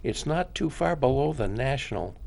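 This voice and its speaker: A man's voice: mid-range voice, lively intonation, moderate pace, creaky, darkish tone, a little hiss or hum. A man hosting a talk show, often cutting in with questions. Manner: interjecting, questioning. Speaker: host.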